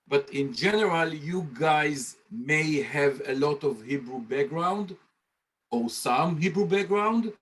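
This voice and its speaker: Male Hebrew instructor, slightly high voice, playful, chatty, talking on a video call. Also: animated, takes it slowly, fairly bright tone, husky. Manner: joking, conversational, talks straight to students. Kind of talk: informal presentation on a video call.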